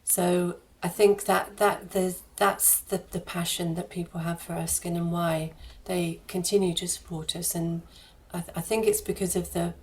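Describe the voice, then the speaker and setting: A woman with a fairly low voice and very little rise and fall in pitch. She answers as a guest in a radio interview, talking conversationally and feeling her way through phrases with some repetition. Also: flat delivery, normal speed. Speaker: charity head of fundraising, interview guest.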